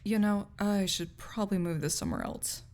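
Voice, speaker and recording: A woman's voice: mid-range voice, brisk, darkish tone, slight background noise, natural voice. A woman playing a snarky, cynical young research assistant in an audio roleplay, talking in a pedantic, lecturing way with scholarly wording. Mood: musing. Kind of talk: intimate audio roleplay acting, conversational monologue to listener.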